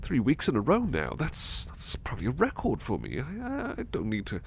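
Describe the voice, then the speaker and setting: A man playing a devoted, anxious butler with a haunted past in an intimate ASMR roleplay, speaking softly and haltingly with stammers. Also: medium pitch, huge pitch swings, vocal fry, slight background noise. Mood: flustered, dutiful. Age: middle-aged or younger adult. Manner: hesitant, stammering, soft-spoken, drifting into whispers.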